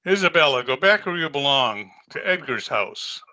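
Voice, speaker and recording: An actor playing a grave, concerned country doctor in an old-time radio drama, earnest and deliberate. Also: male voice, a little high, very animated, sharp tone, exaggerated voice. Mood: grave, urgent.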